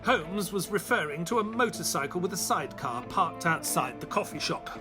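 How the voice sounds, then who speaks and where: Earnest gentleman sidekick, lighter-pitched and very expressive, telling a detective radio play over music. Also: moderate pace. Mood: earnest, dramatic. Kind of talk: audio drama narration and dialogue.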